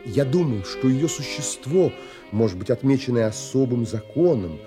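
An actor playing a wistful romantic man who narrates his longings in a measured, contemplative and expressive way, as in a literary audio play. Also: medium pitch, over music, close to an everyday voice. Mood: wistful, stirred.

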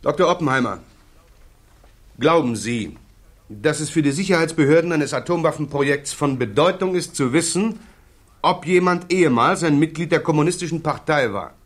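An actor playing a formal, relentless prosecuting counsel who presses methodically at a security hearing, in 1960s German radio drama style. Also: male voice, fairly high pitch, animated, moderate pace. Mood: formal, pressing.

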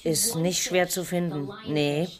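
A woman's voice: sits low, fairly even intonation, takes it slowly, music in the background. An actress playing a gossipy small-town woman, chatty and matter-of-fact, in radio drama style.

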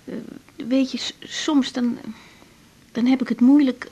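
An actress playing an earnest, troubled young female doctor who speaks haltingly in a husky voice, in a naturalistic radio drama. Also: sits high, steady tone, dark tone. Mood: hesitant, troubled. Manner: halting, searching for words.

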